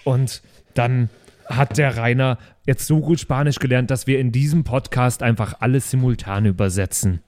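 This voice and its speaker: A man hosts a role-play podcast in a loose, joking style. His voice sits at a medium pitch and he talks like he is among friends. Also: normal speed, a little mellow. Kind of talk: casual joking chat.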